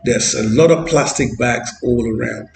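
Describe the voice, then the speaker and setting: A man's voice: medium pitch, normal speed, vocal fry, reverberant, a little hiss or hum. Earnest Ghanaian man vlogging outdoors. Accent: Ghanaian English. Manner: earnest, repetitive, with fillers. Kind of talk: walking street vlog. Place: outdoors on a street.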